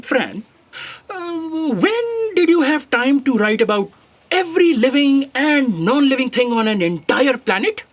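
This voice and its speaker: Exasperated, animated boss editor. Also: female voice, sits high, unhurried. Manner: incredulous, exasperated. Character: exasperated female editor-in-chief of a cosmic book. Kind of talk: audio sci-fi comedy acting.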